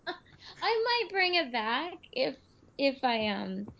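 A woman's voice: high-pitched, sing-song, extremely slow, gravelly, fairly bright tone. Chatty woman on a lo-fi call. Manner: chatty, laughs easily. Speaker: actress guest. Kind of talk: casual podcast interview. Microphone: remote call. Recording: dull lo-fi call audio.

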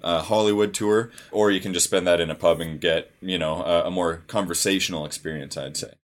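A man hosting a travel chat, relaxed and conversational, asking his guests leading questions. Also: sits low, a little fast. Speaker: podcast host.

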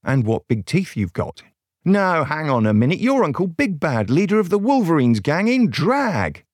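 A man's voice: mid-range voice, animated, moderate pace, a little mellow, dry recording, studio quality, close to an everyday voice. Man as a brash, irritable fairy-tale young lady. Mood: suspicious. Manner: brash, exclamatory. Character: foul-mouthed, irritable young lady, axe-wielding fairy-tale heroine.

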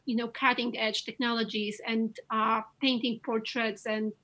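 This is a woman with a Polish accent, an artist being interviewed. Her voice sits a little higher than most, and she talks with bubbling enthusiasm, gushing about what she loves. Her sentences often start over and trail through fillers. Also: flat delivery, normal speed, fairly bright tone. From Poland. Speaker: painter, interview guest. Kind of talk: casual interview chat.

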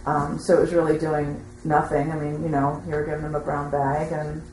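A woman speaking from the audience in a group discussion, halting and tentative as she shares her experience. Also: sits low, level tone, darkish tone. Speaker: audience member commenting at a teaching session. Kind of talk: rambling spoken testimony in a group discussion. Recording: roomy echo with faint background noise.

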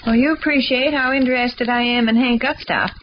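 A woman's voice: a little high, fairly even intonation, a little fast, natural voice. An actress playing a blunt, easily exasperated middle-aged housewife and mother with an American accent, dry and curt in 1940s radio comedy style. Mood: dry, skeptical. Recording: muffled, noisy old radio recording.

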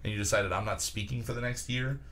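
A man's voice: on the low side, steady tone, quick pace, fairly bright tone, slight background noise. A man hosting a casual chat, joking crudely and speaking bluntly. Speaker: host.

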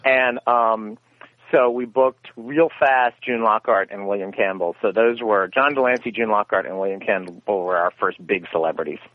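A man's voice: on the low side, steady tone, normal speed, no echo. A man giving interview answers, telling stories in a rambling way and correcting himself as he goes. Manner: rambling, self-correcting storyteller. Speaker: guest, radio theater founder. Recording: tinny phone line, dry.

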